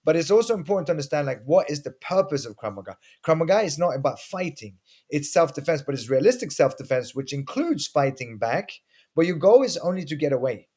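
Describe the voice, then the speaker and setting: A man's voice: a little high, very animated, a little fast, mellow. A middle-aged man from Sweden, a guest explaining self-defense in a casual interview with earnest stories and frequent restarts. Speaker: guest, martial arts instructor.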